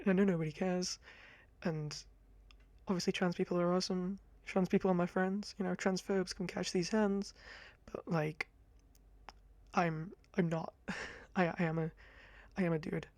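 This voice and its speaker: A young man in his twenties with a high-pitched voice, rambling casually to camera in a chatty, self-deprecating ASMR talk on a close mic. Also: level tone, moderate pace, a little mellow, no echo. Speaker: ASMR creator. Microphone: close condenser mic.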